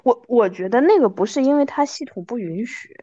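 Female podcast host, thirties, chatty and animated, fairly high voice, close dry sound. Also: fairly quick, no echo, lo-fi sound. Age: 30s. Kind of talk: casual chat interview with an old friend.

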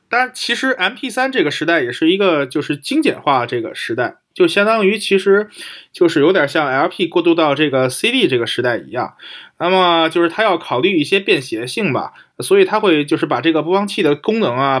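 A man's voice: a little high, very animated, a little fast, a bit bright. A man in a casual technical discussion as a podcast co-host, often repeating phrases and checking in with tag questions. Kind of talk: casual technical discussion of portable audio players.

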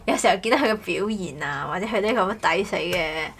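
A woman's voice: fairly low pitch, animated, fairly quick, fairly bright tone. A woman hosting a casual podcast chat in a playful, teasing way. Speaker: podcast host. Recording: clearly noisy background ambience.

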